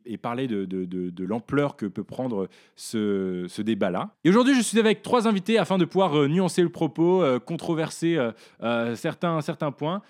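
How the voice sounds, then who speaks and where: A young man from northern France hosting a casual podcast discussion in his living room, talking haltingly with many hesitations and restarts. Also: on the high side, darkish tone. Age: young adult. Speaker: podcast host.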